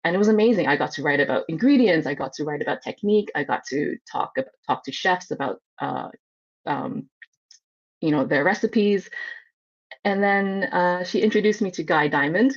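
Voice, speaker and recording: A woman from Hong Kong telling personal stories conversationally as a guest, chatty and expressive. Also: on the low side, highs cut off. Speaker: food journalist guest.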